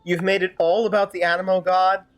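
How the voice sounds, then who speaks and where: A woman's voice: lowish voice, flat delivery, brisk. A woman streaming a game, improvising fan-dub commentary in a wry, sardonic, rambling way. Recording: faint game music behind. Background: faint game music.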